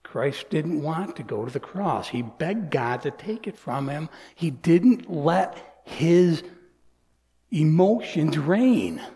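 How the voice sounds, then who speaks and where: Man in his sixties, pastor, sermon, fairly high voice, earnest, soft whispers and emphatic peaks, long hall reverb. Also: lively intonation, slow pace. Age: 60s. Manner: earnest, shifts between soft near-whispers and raised emphatic lines.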